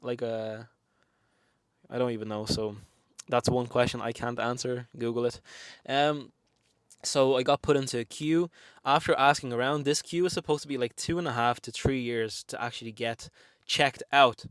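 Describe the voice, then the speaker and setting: A man's voice: mid-range voice, steady tone, moderate pace, fairly bright tone, clean tone, dry recording. A man in his twenties from Lithuania, speaking English with a Lithuanian accent, telling a personal story in a chatty, frank way with many fillers. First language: Lithuanian and Russian. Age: 20s. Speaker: vlogger. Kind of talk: casual storytelling to camera.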